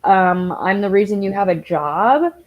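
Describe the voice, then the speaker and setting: A woman speaks as a guest in an interview, a member of a victim's family. She talks in an animated, exasperated way at a middle pitch. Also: a little slow, muffled recording. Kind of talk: casual interview talk.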